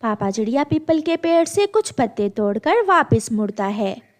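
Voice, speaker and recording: A woman's voice: a little high, very animated, normal speed. A woman narrating a scripted moral story for children.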